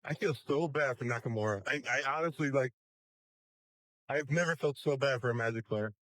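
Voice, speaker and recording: A man's voice: medium pitch, a little fast, a bit bright, dry recording, low-quality recording. A man doing color commentary on a live card game match, playful, teasing and sarcastic.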